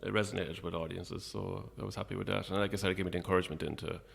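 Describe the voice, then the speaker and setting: A man's voice: sits low, steady tone, very fast, creaky, husky. A middle-aged Irish man chatting casually and modestly as an interview guest about his work. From Ireland. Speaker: guest, playwright and actor.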